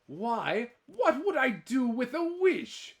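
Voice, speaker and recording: A man's voice: very high-pitched, sing-song, slow, voice pushed up, exaggerated voice. A man voicing a lazy, self-pitying husband in a storybook folktale, whining and melodramatic. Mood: plaintive. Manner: whiny, melodramatic.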